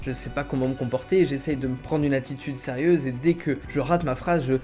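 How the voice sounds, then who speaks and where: A man's voice: mid-range voice, steady tone, a little fast, over loud music. A man in his twenties who grew up in China, candidly narrating his own vlog with self-deprecating jokes. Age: 20s. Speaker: YouTuber.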